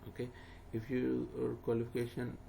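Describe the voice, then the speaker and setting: A man who speaks as a guides a viewer through an online form, repeating steps plainly with fillers. His voice is low and gravelly with little rise and fall. Steady hiss and noise sit under the recording. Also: normal speed. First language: not English. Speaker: tutor. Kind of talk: screen-recorded walkthrough. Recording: noisy home recording.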